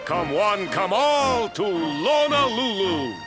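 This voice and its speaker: A festive, welcoming islander from a video game. He speaks effusively and slowly in a high, animated voice over loud music. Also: exaggerated voice. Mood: jubilant. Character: exuberant, welcoming island man, festive host. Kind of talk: video game cutscene acting.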